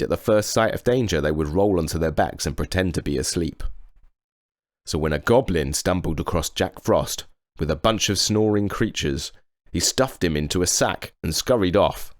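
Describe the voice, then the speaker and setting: Deep-voiced man reading a children's story. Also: dry recording, clean studio sound. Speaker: storybook reader. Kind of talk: expressive narration of a children's story.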